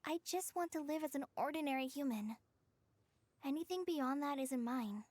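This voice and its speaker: A woman voicing a gentle, humble young girl in a video game, earnest and hesitant in her manner. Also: high voice, normal speed, no room sound, lightly acted. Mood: earnest, modest. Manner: earnest, timid. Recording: music behind the voice.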